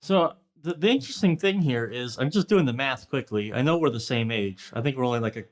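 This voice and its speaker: A man's voice: mid-range voice, animated, a little fast. Casual male host in his thirties. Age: 30s. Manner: casual, swears, thinks out loud. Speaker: podcast host. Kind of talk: casual interview questions.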